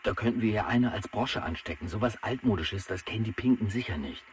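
A practical, middle-aged helper in a children's radio play. His lowish voice is chummy and matter-of-fact, coming from a distance over radio with faint noise. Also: fairly quick. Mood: helpful, chummy. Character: helpful, practical middle-aged male gadget-owning tradesman. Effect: two-way radio. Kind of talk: German children's radio drama.

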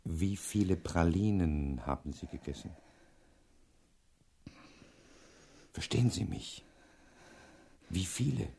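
An actor playing a brilliant, pompous professor detective in a radio crime play, with ornate, pedantic phrasing and an impatient, commanding manner. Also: male voice, sits low, animated, measured pace, creaky, mellow, slight background noise, full character voice. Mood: gentle, probing. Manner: pedantic, ornate, theatrical delivery.

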